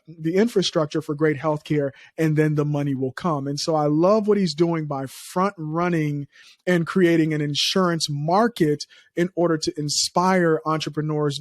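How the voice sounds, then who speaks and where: A man from Liberia hosting a startup podcast, energetic, speaking straight to listeners and reading sponsor spots. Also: no echo. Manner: energetic, pitches listeners directly. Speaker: podcast host. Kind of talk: podcast interview and ad read.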